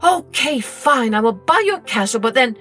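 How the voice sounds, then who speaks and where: A woman voicing a patient, hardworking boy in a children's story, halting and resigned but eager to please. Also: a little high, animated, fairly bright tone, slightly rough, faint music behind, pitched above the performer's own voice. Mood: exasperated, resigned.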